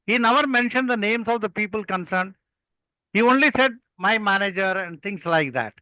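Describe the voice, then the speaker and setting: Older South Indian man, high bright voice. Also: expressive, moderate pace, dry recording, rough recording. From Kerala, India. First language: Malayalam. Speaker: guest, experienced club speaker. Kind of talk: conversational storytelling and advice.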